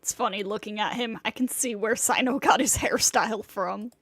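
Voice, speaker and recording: A woman's voice: medium pitch, moderate pace, fairly bright tone. A woman chatting casually and reading aloud as she streams a video game. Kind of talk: casual chat and reading aloud while playing.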